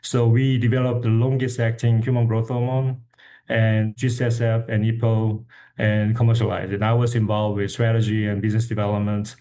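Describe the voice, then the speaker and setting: A man with a fairly low voice and a Korean accent. He is an executive guest on a podcast, explaining his background in an interview style. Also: flat delivery, normal speed, dark tone. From South Korea. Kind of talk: interview self-introduction.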